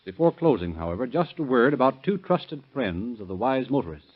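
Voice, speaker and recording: An American man narrating formally in 1930s radio style, measured and declamatory. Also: medium pitch, big pitch swings, slightly rough. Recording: dull vintage radio recording with music.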